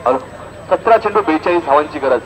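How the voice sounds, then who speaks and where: A man's voice: on the high side, animated, quick pace, background noise. A man with a Maharashtrian accent giving live local cricket commentary, repeating score lines and dropping chatty asides to listeners. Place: outdoors at a cricket ground.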